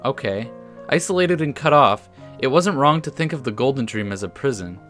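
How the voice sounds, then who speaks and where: A man presents a let's play, switching between casual remarks and narrated story text. His voice sits at a medium pitch, and he sometimes trips over words as he reads. Gentle guitar music plays behind him. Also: animated, normal speed. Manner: casual, stumbles over words when reading aloud. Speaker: gaming video host. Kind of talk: let's play commentary mixed with reading story text aloud. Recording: music under the voice.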